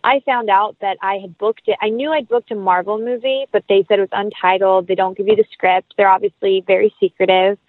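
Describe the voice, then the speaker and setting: A woman's voice: mid-range voice, steady tone, fairly quick, hardly any pauses, no room sound. A woman speaking as an interview guest, chatting casually about her creative work in an enthusiastic, effusive way. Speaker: interview guest, actress and writer. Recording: thin phone-like sound.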